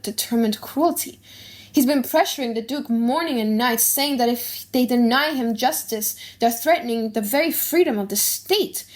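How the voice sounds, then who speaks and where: A woman voicing a grave male messenger who brings bad news, emphatic, in an audiobook play. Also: high voice. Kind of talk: dramatic audiobook reading of a play.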